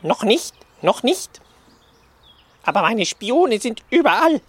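A woman playing a boastful, scatterbrained male crow in a German radio play, repeating phrases in an excitable bird manner. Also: on the high side, slow pace, husky, no echo, heavily acted. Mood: eager, placating. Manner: repeats phrases, squawking interjections.

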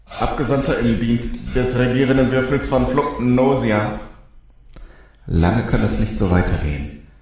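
A man's voice: medium pitch, unhurried, a little mellow, lightly acted. A man playing a pompous alien envoy who records a war logbook in a formal, disdainful, theatrical way. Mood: grave, formal. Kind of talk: scripted sci-fi logbook narration. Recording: echoey, distant, faintly noisy.

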